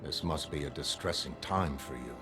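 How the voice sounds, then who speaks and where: Detached godlike being, very low voice. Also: male voice, music in the background. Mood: calm, analytical. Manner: measured, analytical, emotionless. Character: detached, godlike blue superhuman man, coolly curious. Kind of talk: dramatic motion comic narration.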